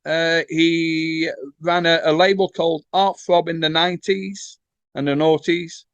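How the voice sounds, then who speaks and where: A man with a distinctly high voice hosts a conversational interview. He speaks informally, with some hesitation, and the sound is dry and close, without room reflections. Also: fairly even intonation, a little slow, no echo, dull audio. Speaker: interviewer, host.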